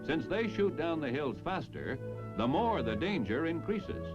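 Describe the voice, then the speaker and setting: A man's voice: a little high, big pitch swings, a little slow, muffled recording. An American man narrating a mid-century military newsreel in a jaunty, polished announcer's delivery over music on an old film soundtrack. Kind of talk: 1950s-60s military newsreel narration, scripted.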